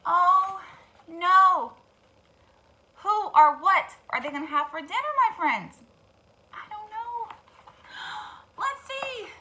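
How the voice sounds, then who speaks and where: A woman narrating a children's story in an animated way, addressing young listeners with wondering questions. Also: extremely high pitch, takes it slowly, long pauses. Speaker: children's storytime host and reader.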